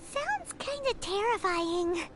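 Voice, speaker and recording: Tiny excitable fairy sidekick: extremely high, squeaky, wildly swooping, indignant game character over loud music. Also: female voice, takes it slowly, heavily acted. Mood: wary. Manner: squeaky, childlike, exclamatory, third-person self-reference. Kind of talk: video game voice acting.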